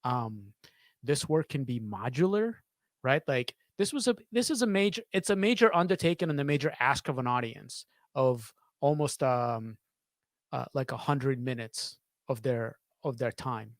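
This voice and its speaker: A man in his thirties talks thoughtfully in an interview, with a medium-pitched voice. He often stops to search for words and restarts his phrases. The sound is close and very dry, from a studio microphone in a small home studio. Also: expressive, normal speed, dry recording. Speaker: sound designer. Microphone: studio microphone on a boom arm, close.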